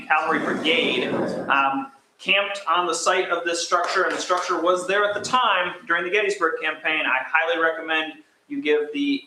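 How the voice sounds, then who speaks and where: A man's voice: fairly high pitch, slight background noise. A man hosting a history roundtable meeting, chatting casually through announcements before introducing the speaker. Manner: relaxed, chatty, addresses the crowd as gang. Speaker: roundtable president and host. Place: meeting room with live audience. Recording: echoey room.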